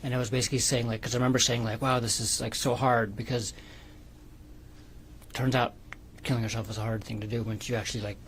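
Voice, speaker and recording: A man testifying as a defendant in court with a creaky voice, hesitant and stammering, often repeating his words. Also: medium pitch, little pitch movement, quick pace, long pauses, a bit bright, slight background noise. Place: courtroom witness stand.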